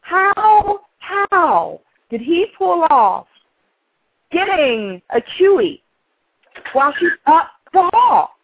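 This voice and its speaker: A woman's voice: very high-pitched, big pitch swings, slow, phone-quality sound. Lady co-host bantering on a radio show, thin phone sound, no room echo. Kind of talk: casual chat and banter.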